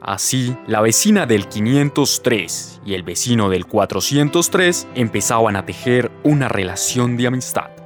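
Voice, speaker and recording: A man's voice: medium pitch, animated, normal speed, hardly any pauses, faint music behind, natural voice. A man playing a romantic, old-fashioned radionovela narrator, telling the story theatrically. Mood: suspenseful, wry.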